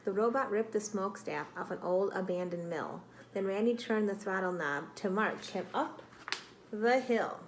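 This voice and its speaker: A woman reading a picture book aloud to children like a teacher, expressive and dramatic, with exclamations and prompting questions. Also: medium pitch, normal speed, rough recording. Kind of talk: read-aloud storytelling with questions to young listeners.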